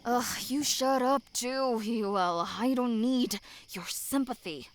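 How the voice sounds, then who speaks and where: A smug young noblewoman adventurer, acted by a woman for an audio drama, speaks in a fairly high voice. She takes her time, teasing and mocking with cocky confidence. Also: slow, no room sound. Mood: irritated. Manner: mocking, sarcastic banter.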